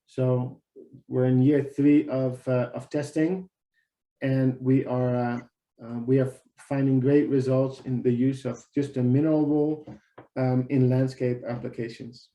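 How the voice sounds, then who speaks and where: Dutch-accented man, mellow and echoey. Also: mid-range voice, little pitch movement, a little slow. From Netherlands. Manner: talkative, restarts and repeats words often. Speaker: webinar presenter. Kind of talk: casual presentation on a video call. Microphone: video call webcam. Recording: echoey room on a video call.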